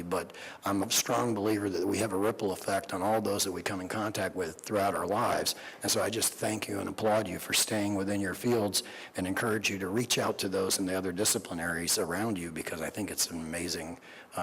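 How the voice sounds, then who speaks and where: An older man who has lost his voice, speaking earnestly and haltingly as a speaker at a conference, with many fillers. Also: sits low, a little hiss or hum. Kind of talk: informal speech to an audience. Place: large conference hall. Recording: reverberant hall.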